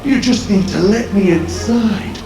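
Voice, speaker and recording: A man's voice: sits high, a little slow. An actor playing a menacing possessed man merged with an alien horror, taunting and grandiose, in a sci-fi horror audio drama. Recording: roomy echo over music.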